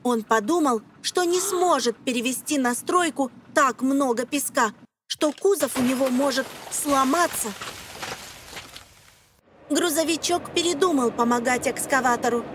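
Female narrator, high voice, for educational children's cartoon; simple explanatory scripted delivery, faint background noise. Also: measured pace.

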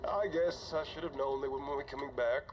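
A dull, slightly noisy recording of a man acting as a weary starship commander keeping a game audio log. He is older and conscience-stricken, talking in a halting, confiding way at a middle pitch. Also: steady tone, lightly acted. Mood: resigned, rueful. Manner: conversational, halting, sighing.

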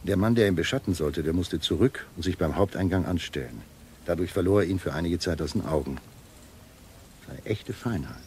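An actor playing a shrewd, cynical intelligence doctor in a German radio play, with a dry, measured delivery. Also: male voice, low-pitched, animated, darkish tone. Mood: coolly insistent. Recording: faint noise on old radio recording.

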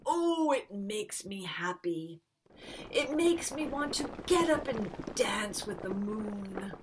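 A woman voicing an ancient, lonely, gentle giant who talks in a slow, loud rumble. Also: animated. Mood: wistful.

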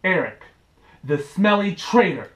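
A young man speaking to camera as a vlog host, with a deadpan, mock-serious manner. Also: high voice, a little slow, recorded from a distance, faint music behind. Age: young adult. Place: small bedroom, indoors. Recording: roomy, echoey bedroom sound.

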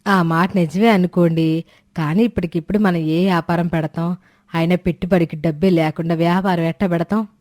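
A woman acts a devoted village wife from a storybook cartoon, low-pitched for a woman. Her talk is homely and plain, recorded dry. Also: steady tone, fairly quick, a little mellow, no echo, close to an everyday voice. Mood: concerned. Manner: homely, colloquial. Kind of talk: animated moral-story dialogue.

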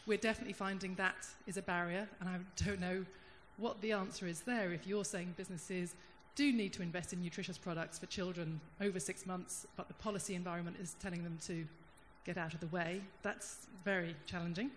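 A woman's voice: on the low side, steady tone. Woman in a conference audience, thoughtful and searching, handheld mic, roomy hall reverb with a faint hum. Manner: thoughtful, searching, with pauses and restarts. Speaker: audience member at a panel. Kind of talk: spontaneous audience comment. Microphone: handheld microphone.

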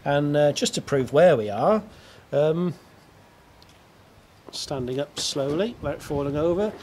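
British man, slightly high voice, chatty and hesitant, amateur radio talk, outdoor rooftop camera sound with background noise. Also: expressive, unhurried, darkish tone. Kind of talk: casual radio contact and outdoor video commentary. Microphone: video camera near the speaker.

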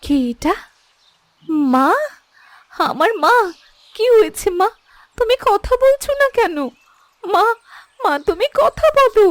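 A woman playing a devoted, gentle royal heroine in an animated fairy tale, speaking earnestly and with emotion. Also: very high-pitched, slow, long pauses, no echo, heavily acted. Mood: distraught.

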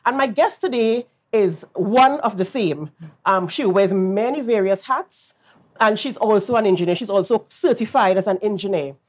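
A woman's voice: mid-range voice, expressive, moderate pace, a little mellow. A middle-aged woman hosting a morning TV interview in a studio, effusive and chatty with lots of affirming interjections. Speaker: morning show host.

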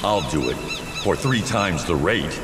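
An actor playing a gruff, mercenary pirate captain in a video game, curt and irritable. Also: male voice, deep voice, animated, measured pace. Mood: hard, haggling. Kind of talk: video game character dialogue. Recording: echoey game audio, noisy ambience behind.

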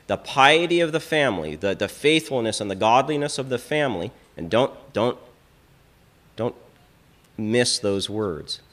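A middle-aged Orthodox priest giving a teaching talk at a lectern in a small church hall, emphatic and given to repeating words as he builds a point. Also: mid-range voice. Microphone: stand mic on lectern, filmed from a fixed camera.

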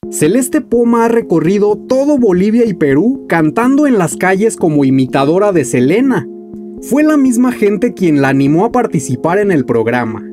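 A man in his thirties hosting a music video, reading a scripted countdown narration with lively delivery. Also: high voice, moderate pace. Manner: lively, announcing countdown entries. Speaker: music journalist and channel host. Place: small room at home, indoors.

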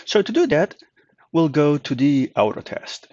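A man's voice: fairly high pitch, very animated, measured pace, a little mellow, no echo. Man presenting a tutorial, slightly dull recording. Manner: conversational, explanatory. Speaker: tutorial presenter, company manager. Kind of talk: informal software tutorial narration.

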